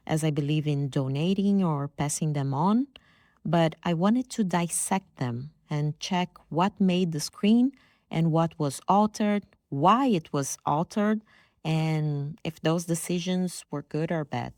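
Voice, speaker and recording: Low-voiced woman hosting a podcast. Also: a little mellow, no echo, studio quality. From Brazil. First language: Brazilian Portuguese. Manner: playful, self-deprecating storytelling. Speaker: podcast host. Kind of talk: reflective personal monologue.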